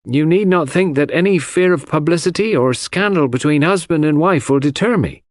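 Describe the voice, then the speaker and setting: Man as an imperious angry mistress, raised pitch. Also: moderate pace, dry recording, natural voice. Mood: furious, resolute. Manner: sharp, clear, indignant declarations. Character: angry, imperious, wronged wealthy wife and mistress.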